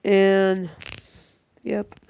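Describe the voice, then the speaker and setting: A young woman talks to the camera in a casual, rambling way, stopping and restarting often. Her voice is smooth but a little congested and tired, and the sound is dry and slightly muffled, as if from a simple built-in mic at home. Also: mid-range voice, monotone, very slow, darkish tone, dry recording. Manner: hesitant, many ums and restarts, chatty. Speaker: vlogger.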